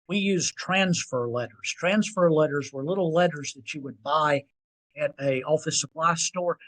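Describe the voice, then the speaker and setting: A man in his sixties with a Southern US accent, a podcast guest telling stories in an animated, self-deprecating way. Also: on the high side, low-quality recording. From North Carolina. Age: 60s. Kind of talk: storytelling interview.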